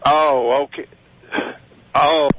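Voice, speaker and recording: Man, radio phone-in caller, slightly high voice, hesitant, questioning, deadpan confusion. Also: drawn-out words, breathy edge, no echo, poor audio. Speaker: prank caller to a radio show. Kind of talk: deadpan prank phone call.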